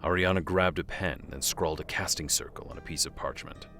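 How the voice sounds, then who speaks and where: An actor playing a solemn storyteller, narrating a scripted fantasy audio drama. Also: male voice, deep voice, a little fast, natural voice. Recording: music bed under the voice.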